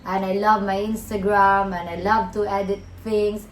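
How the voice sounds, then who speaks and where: A woman's voice: medium pitch, level tone, moderate pace, echoey room, slight background noise. A woman in her twenties from the Philippines, chatting casually and giggly, with loose non-native phrasing. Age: 20s. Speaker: vlogger's partner. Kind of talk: casual to-camera chat.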